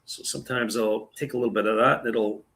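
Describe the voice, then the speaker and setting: A man with a gravelly, slightly worn voice calls a baseball game pitch by pitch. He talks in a relaxed, chatty way and stops now and then to answer viewer comments. His voice has a raspy edge from talking for a long time. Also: fast talker. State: voice getting raspy. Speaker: play-by-play commentator. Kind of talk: live baseball play-by-play with chat reading.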